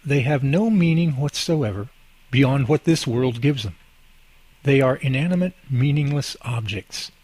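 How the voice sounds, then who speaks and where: Animated, husky young husband played by a man. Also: medium pitch, unhurried, no room sound. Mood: earnest, persuasive. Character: goofy, teasing young husband, earnest and preachy. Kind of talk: radio drama acting.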